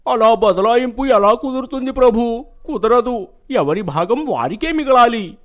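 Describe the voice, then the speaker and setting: An actor playing a sly, greedy village man, submissive and emphatic as he pleads, in an animated moral story. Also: very high-pitched, unhurried. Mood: feigned innocence. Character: sly, greedy village elder brother, submissive before royalty.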